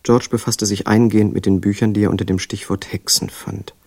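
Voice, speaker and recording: An actor playing a literary narrator, telling a strange story in measured scripted radio drama style. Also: male voice, on the low side, level tone, creaky, a little mellow, dry recording.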